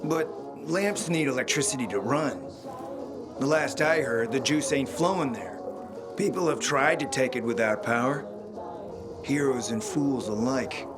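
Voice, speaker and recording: An actor playing a suave, scheming middle-aged spymaster in a video game, speaking in a theatrical, smooth, insinuating way. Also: male voice, mid-range voice, a little slow, close to an everyday voice. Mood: probing, wary. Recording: loud music behind the voice.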